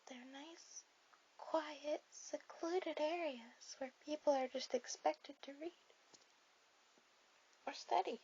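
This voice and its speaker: A woman voicing a shy, sweet, bookish teenage anime girl in a soft-spoken, hesitant ASMR roleplay. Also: sits high, takes it slowly, pauses often. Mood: gently chatty. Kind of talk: ASMR romantic roleplay addressed to the listener. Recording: dull lo-fi audio with clear hiss.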